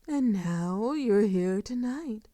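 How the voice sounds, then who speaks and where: A woman playing a seductive, sadistic vampire lord in an intimate audio roleplay, with soft murmurs and a theatrical, condescending, drawn-out delivery. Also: mid-range voice, expressive, no room sound, studio quality. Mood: mocking, silky.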